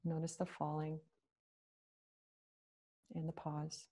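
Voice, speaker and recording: A woman leading a guided meditation, speaking slowly and gently with long pauses. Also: low-pitched, monotone, slightly rough.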